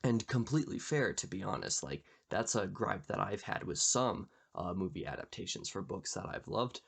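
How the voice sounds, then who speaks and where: A man hosting a book channel, talking casually and explaining in a measured, conversational way with a slightly gravelly voice. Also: fairly low pitch. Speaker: YouTube book channel host. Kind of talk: casual book discussion to camera.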